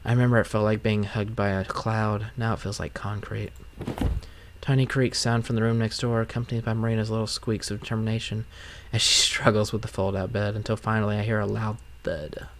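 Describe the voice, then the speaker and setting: A man's voice: fairly low pitch, level tone, brisk, noisy background. A man streaming a game, reading story narration aloud and giving casual commentary as host. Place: game playthrough recording with music behind.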